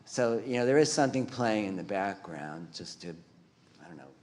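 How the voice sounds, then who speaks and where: A man with a lowish voice answers questions on an art panel. His words trail off in a long hall reverb, and he meanders loosely, leaning on "you know". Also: moderate pace. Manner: relaxed, meandering with many fillers. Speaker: panelist artist. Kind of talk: casual panel discussion.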